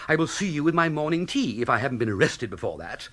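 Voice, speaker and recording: An actor playing a flustered, hapless young English gentleman in a comic radio drama, with a plummy upper-class accent and a blustering manner. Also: medium pitch, very animated, brisk. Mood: grumbling sarcasm. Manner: plummy, blustering, sarcastic, stammering when cornered.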